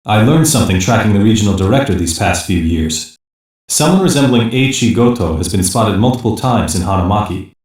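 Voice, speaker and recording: A man playing a sober security-police investigator in a sci-fi game, delivering measured, factual reports. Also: fairly low pitch, expressive, a little mellow, natural voice. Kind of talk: English animated sci-fi game voice acting. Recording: very echoey, distant-sounding game audio.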